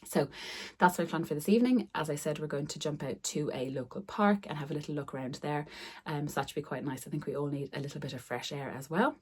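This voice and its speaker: Woman, middle-aged, hoarse, low voice, friendly chatty vlog. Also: fast, darkish tone. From Ireland. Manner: friendly, repeats words for emphasis. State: losing her voice, hoarse. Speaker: sewing vlogger. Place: room upstairs at home.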